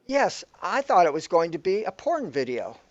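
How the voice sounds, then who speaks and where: A man's voice: high-pitched, huge pitch swings, normal speed. A man playing a bewildered, aggrieved man trapped in an absurd afterlife, complaining wordily in amateur sci-fi audio comedy style. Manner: complaining, wordy. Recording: echoey room, dull lo-fi sound.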